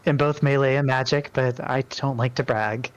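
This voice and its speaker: A man voicing a polite, gently wry female deity, playful and mildly exasperated. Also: mid-range voice, steady tone, moderate pace, smooth, muffled recording, lightly acted. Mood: modest.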